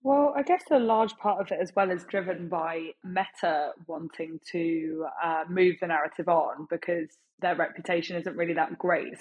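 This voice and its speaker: A woman's voice: lowish voice, slightly rough, poor audio. A woman guest in a podcast discussion, speaking in a thoughtful, critical way. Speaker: guest, writer and strategist.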